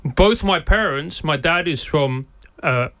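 South london guy, spanish was his first language, chatty and wry, a bit high-pitched. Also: takes it slowly. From England. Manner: lively anecdotal delivery with wry humour and laughs. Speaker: guest, podcast co-host and former teacher. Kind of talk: conversational interview storytelling.

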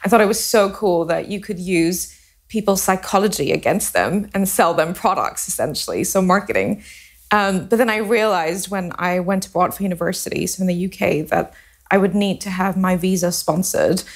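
A woman's voice: on the low side, moderate pace. A woman in her thirties from India and Singapore, a company founder talking openly in a radio interview. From India, raised in Singapore. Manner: open, talkative, with fillers.

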